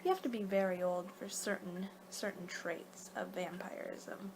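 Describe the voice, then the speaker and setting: Woman doing rambling ASMR, noisy background. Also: medium pitch. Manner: rambling, halting, losing her train of thought. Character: young-for-her-kind female vampire guiding a client, casual, a little exasperated. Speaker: ASMR performer. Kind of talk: soft-spoken ASMR roleplay, partly whispered.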